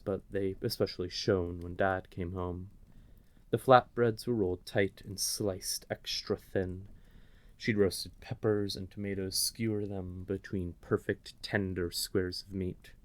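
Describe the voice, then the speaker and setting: A man narrating a scripted fantasy story in a measured, dramatic way. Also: deep voice.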